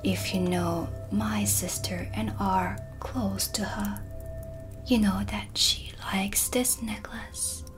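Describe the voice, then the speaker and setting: A woman voicing a sly, composed dark witch in a soft, breathy, deliberate ASMR roleplay, close to the mic. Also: mid-range voice, fairly even intonation. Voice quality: breathy, whispery. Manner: slow, deliberate, theatrical. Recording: music behind the voice. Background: ambient music.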